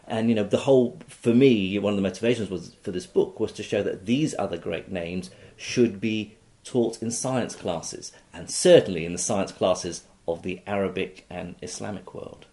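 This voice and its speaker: A man's voice: fairly low pitch, normal speed, hardly any pauses, dark tone. A man who grew up in Iraq, an author being interviewed, explaining at length with many fillers and restarts. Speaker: author, guest. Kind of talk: interview conversation.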